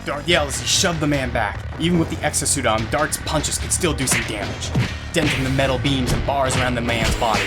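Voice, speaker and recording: A man's voice: mid-range voice, a little fast, music in the background. A man reading scripted narration for an audio drama, telling the scenes as the narrator.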